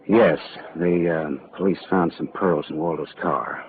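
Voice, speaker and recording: A man's voice: very deep voice, fairly even intonation, a little slow. An actor playing a hard-boiled male private detective, narrating in a dry, laconic 1940s radio drama style. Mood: guarded. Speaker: lead actor. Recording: tinny old radio broadcast with loud music.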